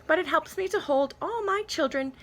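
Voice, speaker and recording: A woman's voice: very high voice, normal speed, pitched above the performer's own voice. A woman voicing a doting octopus parent in fond, playful rhyme from a storybook.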